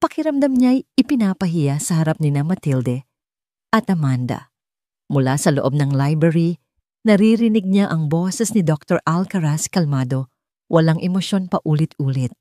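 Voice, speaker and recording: A woman narrates a scripted dramatic story. Her voice sits a little low for a woman, and the recording is dry, with very little room sound. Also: animated, normal speed, dark tone, dry recording.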